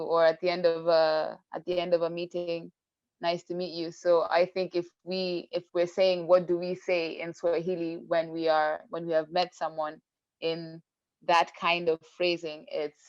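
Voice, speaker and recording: Lower-voiced articulate woman, dull dry sound. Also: no room sound. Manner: articulate, reflective, hedging. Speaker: panel guest. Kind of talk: casual group chat.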